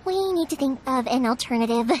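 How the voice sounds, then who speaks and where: A woman playing a cheerful, energetic young fireworks maker in a video game, chatty, emphatic and protective. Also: high-pitched, fairly quick, a little hiss or hum. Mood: bright, chatty. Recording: music under the voice.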